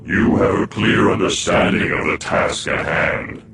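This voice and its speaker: Very deep, gravelly dark overlord. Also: male voice, heavily acted. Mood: commanding. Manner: slow, imperious, formal fantasy diction. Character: ancient, menacing male dark overlord of an underground realm. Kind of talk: theatrical fantasy game cutscene acting. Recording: noisy game audio with heavy echo.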